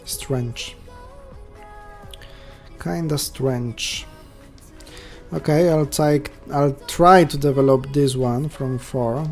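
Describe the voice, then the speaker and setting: A man's voice: medium pitch, very slow, long pauses, a little mellow, soft background music. Polish-accented man commenting his live games. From Poland. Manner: thinks aloud, chatty asides to viewers. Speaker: checkers streamer.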